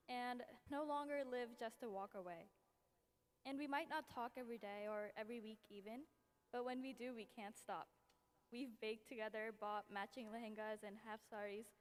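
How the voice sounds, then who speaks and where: A woman's voice: fairly high pitch, fairly even intonation, moderate pace. A young woman giving an earnest, lightly self-deprecating tribute speech to a friend at a dance recital. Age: young adult. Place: event hall. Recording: long hall reverb.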